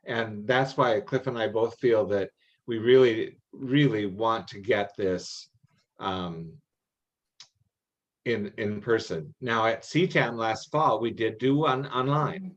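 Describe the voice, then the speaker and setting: A man's voice: lowish voice, takes it slowly, highs cut off. An older, retired American man explaining things in a meeting, emphatic and given to repeating words for weight. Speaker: workshop presenter and judge trainer.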